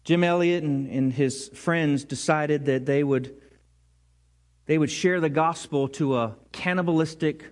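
A man's voice: a little high, measured pace. A man preaching a sermon to his congregation, earnest and rising to emphatic peaks. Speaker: pastor.

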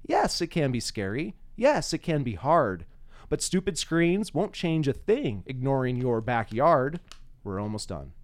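A man's voice: medium pitch, very animated, a little slow. A man hosting a podcast, chatting casually and thinking aloud, at times reciting simple rhyming verse. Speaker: podcast host.

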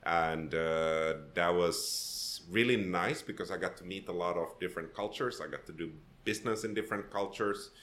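Casting: Finnish-raised man in his thirties, very low voice, thoughtful guest talk, roomy echo. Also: normal speed, hardly any pauses, a bit bright. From Finland. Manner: thoughtful, with frequent restarts and fillers. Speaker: podcast guest. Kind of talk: conversational interview.

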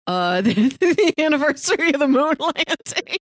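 A woman co-hosts a casual film podcast. Her voice sits a little high and swings expressively, with a playful, wry manner. The recording is dry, with little room sound. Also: very animated, normal speed, no echo. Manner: playful, wry, often chuckling as speaking. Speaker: podcast co-host. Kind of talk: casual film discussion chat.

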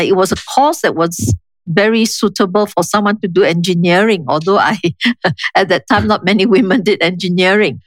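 An older woman with a Singaporean accent, speaking in a measured, reflective, explanatory way as an interview guest. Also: lowish voice, no room sound. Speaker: interview guest, urban planning official. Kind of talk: conversational interview, reminiscing.

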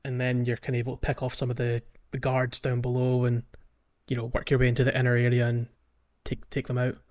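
A man's voice: medium pitch, completely flat delivery, fast talker, a little mellow, dull audio. A man co-hosting a casual podcast, explaining a video game in a hesitant, piece-by-piece way. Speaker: podcast co-host. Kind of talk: casual game walkthrough chat. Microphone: podcast voice recording.

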